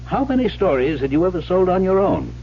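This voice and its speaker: An actor playing a coolly insistent, patronizing psychiatrist in a vintage radio drama, pointed and questioning. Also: male voice, high-pitched, sing-song, fairly quick. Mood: needling. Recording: muffled old radio broadcast with hiss and hum.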